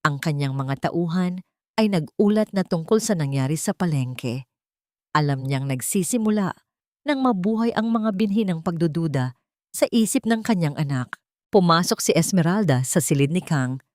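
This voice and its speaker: A woman's voice: lowish voice, animated, moderate pace, a little mellow, no echo, clean studio sound. A woman with a Filipino accent narrating a scripted dramatic story.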